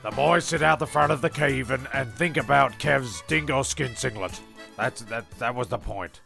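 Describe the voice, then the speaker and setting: Gravelly man narrating. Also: mid-range voice, moderate pace, sharp tone. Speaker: narrator and host. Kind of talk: rambling comic narration. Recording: homemade recording with music behind.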